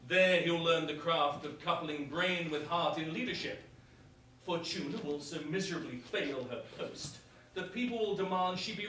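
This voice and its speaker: A man's voice: sits high, a bit bright. A man playing a scheming, judgmental king and father in a staged verse comedy, with stately, declamatory delivery. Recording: echoey room, far mic, faint noise.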